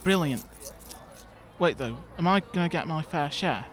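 A man's voice: fairly high pitch, animated, measured pace. A man playing a naive, eager, guileless schoolboy sidekick in an amateur audio drama.

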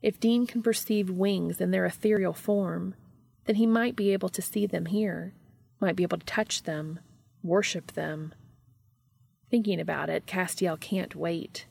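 A woman with a slightly creaky voice reading in a scripted style as a podcast narrator and host. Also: on the low side, fairly even intonation, a little slow, a little mellow, dry recording.

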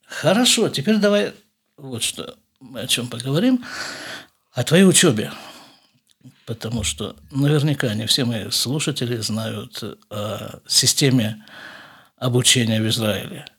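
Middle-aged male host, husky voice, mid pitch, thoughtful unhurried questioner. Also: a bit bright. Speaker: podcast host, father. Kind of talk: casual family conversation.

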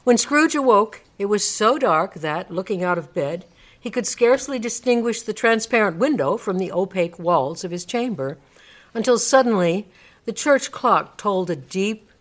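A woman's voice: medium pitch, lively intonation, moderate pace. A woman narrator reading a classic story aloud as dramatic storybook narration for a radio play.

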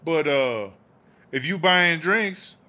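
A man's voice: fairly high pitch, lively intonation, slow pace, sharp tone, full character voice. A man playing a swaggering, sassy female American inmate in a comedy audio drama, drawling and boastful. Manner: drawling, boastful, third-person self-references.

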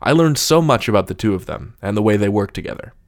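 A man's voice: lowish voice, animated, fast. A man hosting a podcast, articulate and wordy, moving between scripted intros and conversational interviewing.

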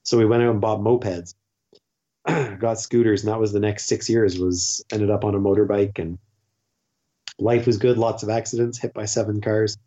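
A Canadian man in his forties tells stories in a loose, rambling way, full of fillers and restarts. His voice is dark and mellow, and the recording is a little muffled. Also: fairly low pitch, fairly even intonation, moderate pace. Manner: rambling, self-interrupting, with frequent fillers and restarts. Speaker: podcast guest; teacher. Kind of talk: casual conversational storytelling.

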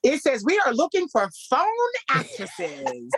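Hoarse man with a light, husky voice. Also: unhurried, no room sound. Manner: animated, repeats phrases, jumps high for quoted voices. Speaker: guest musician. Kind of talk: casual interview storytelling.

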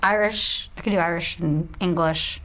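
A woman's voice: fairly low pitch, steady tone, moderate pace, fairly bright tone, low-quality recording. A woman chatting casually as a tabletop game player, rambling with restarts and fillers.

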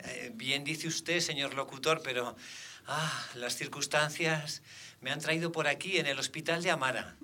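A man's voice: a little high, fairly even intonation, unhurried, pushed and bright, heavily acted. A man playing a talkative, pious old Benedictine monk in comic radio theatre, rambling and hesitant. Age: older. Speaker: caller to a radio contest.

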